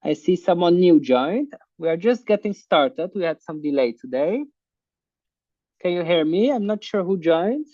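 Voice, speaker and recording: A man hosting an online class on a video call, talking in a halting way with many fillers. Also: high-pitched, expressive, warm tone, no room sound. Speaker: online course host. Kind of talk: casual online class talk. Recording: muffled video call audio.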